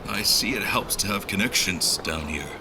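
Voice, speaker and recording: A man playing a weary, dignified nobleman traveler in an audio drama, narrating his journey in formal, measured, old-fashioned phrasing. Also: deep voice, expressive, background noise. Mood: measured narration. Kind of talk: scripted first-person story narration.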